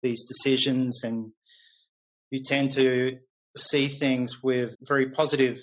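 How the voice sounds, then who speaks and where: A man's voice: mid-range voice, little pitch movement, slow pace, a bit bright, rough recording. A middle-aged man with an Australian accent telling personal stories conversationally as a podcast guest, candid and reflective.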